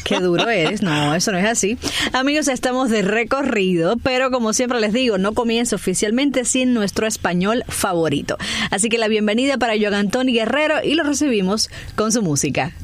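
A woman's voice: fairly high pitch, expressive, fairly quick. A woman hosting an online talk show, greeting viewers and chatting in a friendly, easy-laughing manner. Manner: warm welcoming, laughs easily. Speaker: host.

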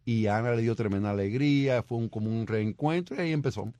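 A man, an immigration lawyer, speaking earnestly and warmly as a radio guest. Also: medium pitch, fast talker, dry recording. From Cuba. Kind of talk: radio interview.

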